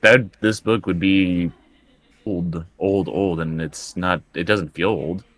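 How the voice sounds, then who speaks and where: Male tabletop player, low register, dry recording, hesitant improvised delivery with repeated words. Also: steady tone, takes it slowly, dry recording. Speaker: player in a tabletop roleplaying game. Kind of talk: improvised in-character tabletop play, conversational.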